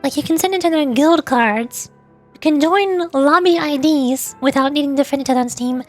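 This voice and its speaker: A woman's voice: high voice, moderate pace, soft background music. A young woman streaming a game, chatting casually and rambling with laughs and restarts.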